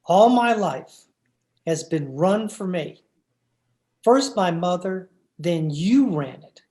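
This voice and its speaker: A man playing a henpecked, scheming husband in an old-time radio horror drama, coaxing in a deliberate, repetitive way. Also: high-pitched, animated, warm tone. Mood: defiant.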